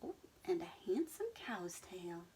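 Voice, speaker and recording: A woman reading a storybook aloud and voicing a bossy, excitable female cow who moos her lines. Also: on the low side, unhurried, rough recording. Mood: excited. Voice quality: mooing, breathy. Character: excitable farm cow, bossy and pleased with herself.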